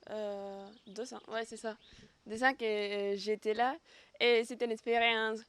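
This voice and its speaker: A woman's voice: on the high side, steady tone, a little slow, fairly bright tone, smooth. A young woman from southern Spain with a Spanish accent, a volunteer speaking French in a chatty, easy-laughing way. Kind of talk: interview testimony.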